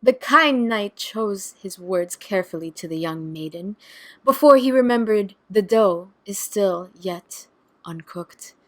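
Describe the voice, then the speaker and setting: A woman's voice: medium pitch, a little slow, natural voice. A woman playing a teasing, sardonic young woman in an audio drama, with playful, sarcastic banter. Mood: mock-grand teasing.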